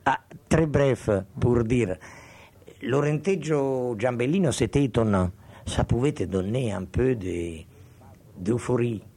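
Older man with a gravelly, dark voice. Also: mid-range voice, slow pace, no echo. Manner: digressive, meandering storyteller. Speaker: guest. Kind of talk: reminiscing in conversation.

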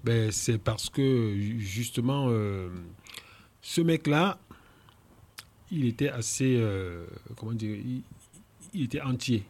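A man's voice: mid-range voice, lively intonation, takes it slowly, pauses often, a little mellow. A man in his fifties with a Cameroonian French accent, a musician guest talking casually, often restarting and repeating words. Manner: hesitant, many restarts and repeats. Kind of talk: casual interview.

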